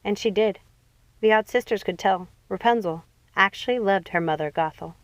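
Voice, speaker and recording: A woman reading a children's fantasy novel aloud as expressive scripted narration. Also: medium pitch, normal speed. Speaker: audiobook reader.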